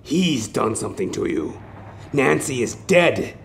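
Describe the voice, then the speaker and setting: A man narrating a horror audio play dramatically, intense and theatrical, pausing between short phrases. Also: mid-range voice, lively intonation, gravelly, slight background noise.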